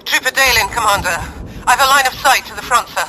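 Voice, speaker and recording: Young trooper, very high pitch, crisp reports, war noise around. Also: male voice, a little fast, pushed and bright, full character voice, poor audio. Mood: reporting. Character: young front-line soldier, dutiful and tense. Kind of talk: audio drama acting. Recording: battle and vehicle noise behind.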